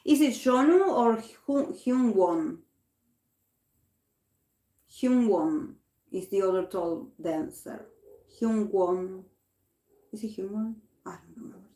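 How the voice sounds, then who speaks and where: A woman's voice: mid-range voice, expressive, slow, pauses often, warm tone. A woman from Argentina, a native Spanish speaker, chatting casually in English as host of a livestream, with many restarts. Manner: chatty, many restarts, reading comments aloud. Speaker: tarot reader host. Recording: roomy echo.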